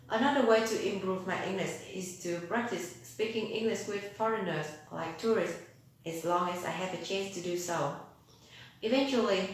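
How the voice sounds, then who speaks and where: A woman's voice: fairly low pitch, a little hiss or hum. Vietnamese-accented teen girl in an echoey room. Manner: earnest, rehearsed delivery with rhetorical questions. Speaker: student speech contestant. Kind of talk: prepared public speaking contest speech.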